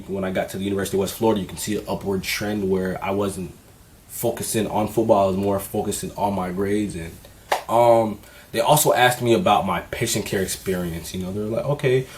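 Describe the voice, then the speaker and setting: Low-voiced man giving casual advice. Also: slight background noise. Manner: relaxed, candid, conversational with frequent fillers. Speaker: vlogger.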